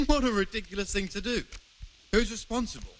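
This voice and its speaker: Shrewd medieval man: raised pitch, lively inflection, questioning and decisive, noisy background. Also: fairly quick. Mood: curious, decisive. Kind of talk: video game dialogue acting.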